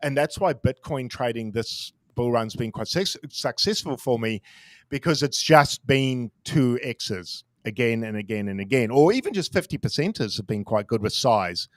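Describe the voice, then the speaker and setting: A man's voice: mid-range voice, lively intonation, moderate pace, no room sound. A man in his forties talking candidly and casually about trading, self-deprecating and swearing now and then. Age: 40s. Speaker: crypto trader guest. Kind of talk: casual podcast chat.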